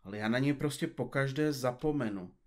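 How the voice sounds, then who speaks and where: A man's voice: medium pitch, natural voice. A man playing a pompous, absent-minded detective in an audio comedy drama, speaking in florid, formal, bookish phrases. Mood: apologetic, earnest.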